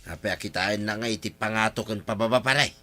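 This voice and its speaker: A man's voice: fairly low pitch, brisk, bright, forceful tone. An actor with an Ilocano accent playing a flirtatious, hapless married village man in an audio drama. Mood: casual. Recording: music under the voice.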